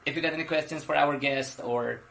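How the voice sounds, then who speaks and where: A man's voice: fairly high pitch, steady tone, fairly quick, gravelly, fairly bright tone. Hesitant man running an online class. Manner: hesitant, frequent fillers. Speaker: English teacher. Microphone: video call.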